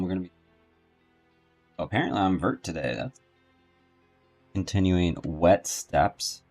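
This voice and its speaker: A male game streamer with a low voice talks casually to his viewers. His commentary is loose and conversational, over faint game music. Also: a little mellow.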